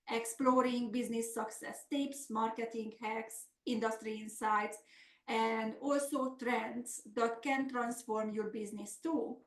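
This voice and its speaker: A woman's voice: a little high, echoey room. Hungarian-accented woman presenting a business webinar. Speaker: business coach hosting a webinar. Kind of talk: semi-scripted webinar presentation.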